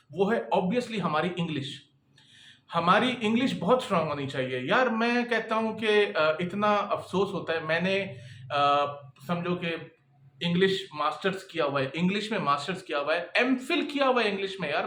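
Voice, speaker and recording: A man's voice: high-pitched, fairly bright tone, slight background noise. Man teaching in an echoey room. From Pakistan. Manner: conversational, keeps checking in with "okay?". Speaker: freelancing instructor and employer. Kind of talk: casual talking-head lesson.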